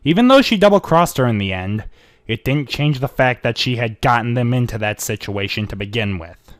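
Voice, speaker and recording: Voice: medium pitch, expressive, moderate pace, no room sound, studio quality. An amateur narrator giving a dramatic storybook reading of fan fiction.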